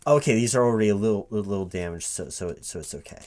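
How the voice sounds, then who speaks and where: Hesitant, low-voiced man in a roleplay. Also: fast, a little mellow. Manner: hesitant, stammering, repeating words. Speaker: roleplay performer as a recruiting manager. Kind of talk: one-sided interview roleplay, soft-spoken ASMR at normal voice.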